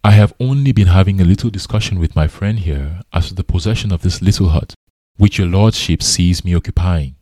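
A man reads as a storybook elephant, a sly minister of the jungle. The voice is low and dry, smooth in manner, superior and sure of its own importance. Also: fairly quick, warm tone, dry recording. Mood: soothing. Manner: soothing, authoritative, superior.

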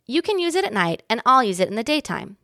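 A woman's voice: a little high, sing-song, fast talker, sharp tone, no room sound, studio quality, pitched above the performer's own voice, exaggerated voice. A woman voicing a friendly but cautious and slightly bossy young boy in a storybook reading. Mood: agreeable.

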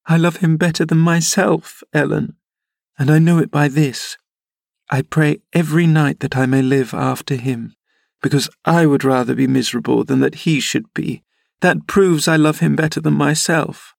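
Earnest, pleading young gentlewoman for a classic audiobook, performed by a man, raised pitch, close dry recording. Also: steady tone, moderate pace, gravelly, dry recording. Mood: earnest devotion. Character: impulsive, devoted young lady of a classic novel. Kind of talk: audiobook character reading.

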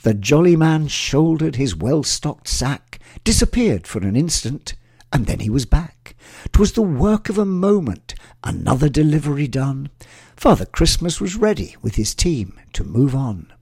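A man narrating a rhyming Christmas tale in a measured, expressive recitation. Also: fairly high pitch, gravelly, husky, no room sound, studio quality.

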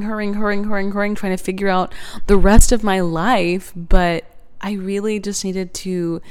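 A young woman in her twenties talks earnestly about faith and rest, in a casual, rambling way full of fillers and small laughs. Her voice sits a little low and sounds smooth and clear. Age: 20s. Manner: earnest, warm-hearted, laughs often, many fillers. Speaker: podcast host. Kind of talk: reflective faith podcast monologue.

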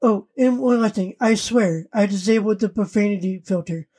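A woman's voice: medium pitch, moderate pace, dark tone, slightly rough. A woman co-hosting a podcast, chatting casually and acting out bits she recalls. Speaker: podcast co-host. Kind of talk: casual chat about an anime parody.

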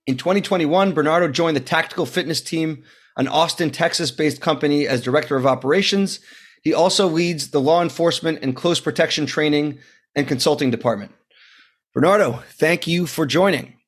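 A man's voice: a little high, a little fast, slightly rough. A man in his thirties hosting a podcast interview, reading a prepared introduction and asking questions. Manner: scripted introduction, friendly questions. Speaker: podcast host.

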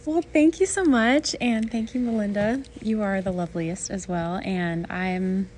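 A woman's voice: mid-range voice, measured pace, darkish tone. Young woman guest, bubbly and giggly, from Washington State, faint ambient noise behind. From Washington State, USA. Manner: giggly, bubbly, lots of laughter. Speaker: podcast guest. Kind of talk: casual chat.

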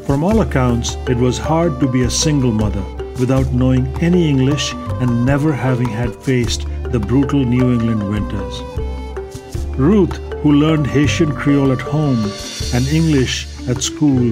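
A man hosting an interview show, reading a measured introduction and asking questions. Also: medium pitch. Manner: measured, reads guest intro. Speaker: podcast host. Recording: music bed behind voice.

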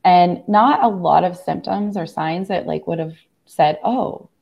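A young adult woman, hoarse from laryngitis, earnestly recounting a personal family story in a conversation, with many fillers and restarts. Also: fairly low pitch, a little slow, darkish tone. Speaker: guest.

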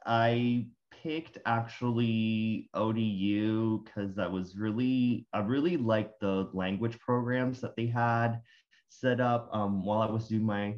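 A man's voice: lowish voice, a little mellow, muffled recording. A young man from Rico, answering interview questions as a guest, hesitant and full of fillers. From Puerto Rico. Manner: hesitant, many fillers and restarts. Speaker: guest, graduate student.

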